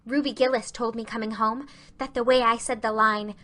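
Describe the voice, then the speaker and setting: A woman's voice: a little high, fairly even intonation, normal speed, fairly bright tone, lightly acted. A woman voicing an earnest, romantic, effusive schoolgirl in a dramatized audiobook, with gushing, dramatic delivery.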